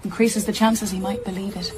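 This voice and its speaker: An actress playing a brisk, bookish, teasing childminder with a warm heart, in natural radio drama dialogue over clear background noise. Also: female voice, mid-range voice, steady tone, crowd noise, close to an everyday voice.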